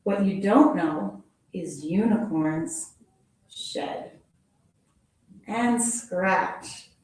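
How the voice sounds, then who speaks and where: A woman teacher reading a children's picture book aloud in a playful, expressive storytelling manner, adding little sound effects. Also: fairly low pitch, drawn-out words. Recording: very echoey room from far off.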